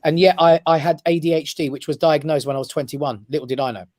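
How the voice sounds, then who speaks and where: A man's voice: on the high side, fairly even intonation, quick pace, a little mellow. A man, an emphatic and passionate radio guest. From England. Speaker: guest, comedy club owner. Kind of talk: casual radio interview. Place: radio studio.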